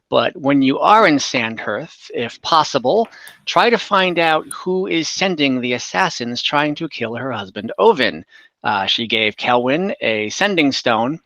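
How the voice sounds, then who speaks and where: Bright-voiced man running a tabletop game. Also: medium pitch, dry recording. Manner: halting, conversational, self-correcting. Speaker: game master. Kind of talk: casual tabletop game recap, narrating. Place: online game session over voice and video call.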